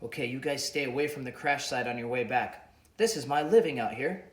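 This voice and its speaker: A thick-accented, suspicious jungle businessman, as a man reads him out, urgent and pitched up. He guards his living. The storybook reading is heard in an echoey room. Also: fairly quick, close to an everyday voice.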